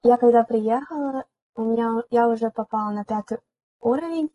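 A woman's voice: on the high side, moderate pace, mellow, dry recording. A young woman hosting a podcast, asking questions and then sharing her own experience. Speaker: podcast host. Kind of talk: casual chat.

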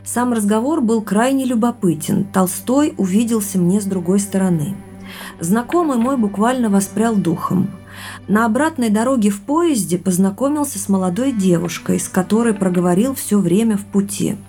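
Actress doing earnest reflective young woman in an audio drama, soft mellow tone, bit of fry, music under. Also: mid-range voice, moderate pace, close to an everyday voice. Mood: absorbed reading aloud. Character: thoughtful young woman sharing family mystery with boyfriend. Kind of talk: naturalistic audio drama dialogue.

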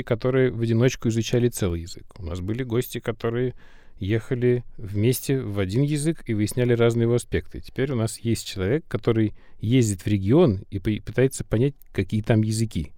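A man's voice: mid-range voice, a little fast, warm tone, no room sound, studio quality. A man hosting a conversational interview, summing up and putting questions to a guest. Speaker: interview host.

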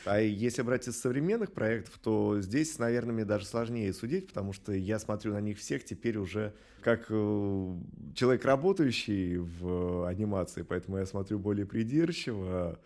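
Low, mellow middle-aged man, reflective. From Russia. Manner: reflective, hesitant, measured phrasing. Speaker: animation studio director, interview guest. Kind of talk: thoughtful interview talk.

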